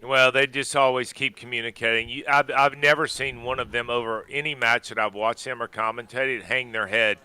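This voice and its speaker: A man's voice: mid-range voice, fairly even intonation, moderate pace, hardly any pauses, pushed and bright, clear, resonant tone. A man giving color commentary on a tennis final, emphatic and full of praise for the players. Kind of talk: sports commentary.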